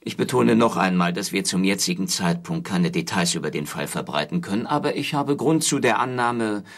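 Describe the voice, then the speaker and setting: A stern male prosecutor in a radio play. His voice is fairly low and rough-edged. He talks in formal, guarded, officious sentences. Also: animated. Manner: formal, officious, measured legal phrasing. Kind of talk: radio drama acting as talk show guest.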